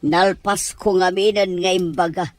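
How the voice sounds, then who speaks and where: A woman's voice: fairly low pitch, fairly even intonation, moderate pace, no echo, close to an everyday voice. A woman acting a stern mother who opposes her son's sweetheart in a radio drama, with a disapproving, scheming manner.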